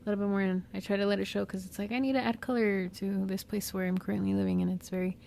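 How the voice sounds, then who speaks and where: Gravelly-voiced woman, slow musing monologue. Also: medium pitch, little pitch movement, warm tone, slight background noise. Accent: Southern California. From Mexican-American. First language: Spanish. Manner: slow, reflective, with pauses and fillers. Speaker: podcast host, therapist. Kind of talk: unscripted personal monologue.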